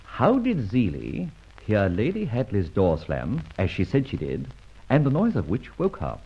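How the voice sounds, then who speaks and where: Dull old radio recording of a breezy gentleman adventurer. His pitch leaps about with great animation as he teases and banters in flippant, theatrical style. The voice has a husky grain and plenty of mischief. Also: huge pitch swings, unhurried, full character voice. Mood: brisk, bantering. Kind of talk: mid-century radio drama acting.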